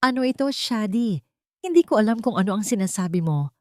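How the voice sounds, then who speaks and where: A woman's voice: mid-range voice, lively intonation, a little fast, dry recording, clean studio sound, voice pushed up, natural voice. A woman voicing a frustrated, defiant adult son from a rich family, insisting earnestly in a dramatic story. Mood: earnest denial.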